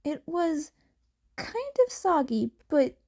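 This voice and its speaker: A woman's voice: high-pitched, sing-song, slow pace, darkish tone. A woman reading fiction aloud as an amateur audiobook narrator.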